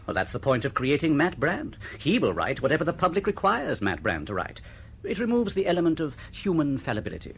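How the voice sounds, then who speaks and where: A man's voice: a little high, huge pitch swings, a little fast, slight background noise. An actor playing a suave, condescending male literary agent in a radio drama, wordy, formal and coolly unruffled. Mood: smug. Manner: smooth-talking, patronising, wordy and formal.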